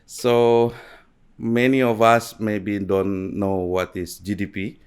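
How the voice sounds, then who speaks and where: A man's voice: on the low side, little pitch movement, takes it slowly, clean tone. A middle-aged man hosting a studio podcast interview, asking questions with hesitant fillers. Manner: hesitant, lots of fillers and listening murmurs. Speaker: host. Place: small studio set. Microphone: studio mic on boom arm, headphones.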